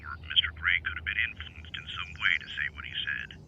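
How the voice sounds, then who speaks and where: A man playing a formal, noncommittal police detective on a telephone in a radio drama, measured and official. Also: extremely low pitch. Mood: official, flat. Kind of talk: audio drama acting in vintage radio manner. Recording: dull, band-limited phone call, clear noise.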